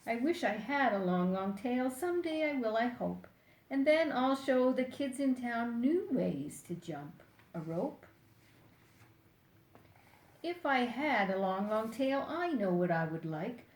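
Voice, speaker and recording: Older female storyteller, unhurried picture-book read-aloud, playful and direct with children, echoey room. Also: fairly high pitch, sing-song, a little mellow. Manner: deliberate, playful, addresses young listeners directly.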